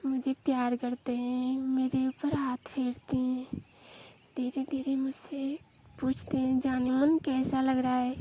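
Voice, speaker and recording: A woman telling an intimate romantic story in a confessional style, with drawn-out, repetitive phrasing. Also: high-pitched, warm tone. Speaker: storyteller in a staged intimate phone conversation.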